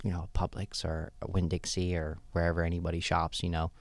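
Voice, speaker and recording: Deep-voiced man, relaxed and chatty. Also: a little fast, no room sound. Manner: relaxed, loose, many fillers and "right?" tags. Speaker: store owner, guest. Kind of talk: casual interview answers.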